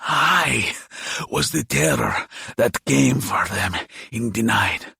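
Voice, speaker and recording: A man voicing a frail, dying old demon for an audiobook, proud and menacing, with a croaking, hissing, breathy voice and slow, grandiose delivery. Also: mid-range voice, animated, bright, forceful tone, dry recording, clean studio sound, exaggerated voice. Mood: bitter pride. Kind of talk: dramatic audiobook character voice.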